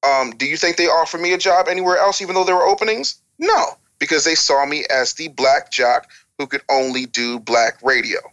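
A man's voice: a little high, a little fast, a bit bright. An American man speaking as an interview guest, candid and conversational, with a blunt, emphatic, streetwise way of talking. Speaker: interview guest, former radio DJ and record maker.

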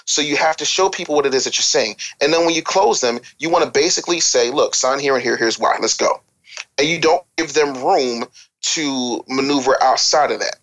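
A man's voice: mid-range voice, brisk, a bit bright, poor audio. American guy on a podcast, blunt and preachy, swears, scolds you while handing out advice. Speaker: podcast guest giving business and career advice. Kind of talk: opinionated unscripted talk.

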